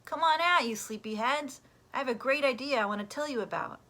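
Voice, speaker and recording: A woman voicing an eager, excitable young boy from a children's storybook, exclaiming with enthusiasm. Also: high-pitched, fairly quick, voice pushed up. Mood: calling out, eager.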